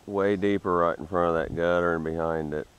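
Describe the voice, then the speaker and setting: A man's voice: deep voice, fairly even intonation, a little fast, smooth. A man hosting a fishing vlog, chatting plainly to viewers from the bank of a rural canal. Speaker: fishing vlogger. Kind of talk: casual fishing commentary. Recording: faint outdoor noise.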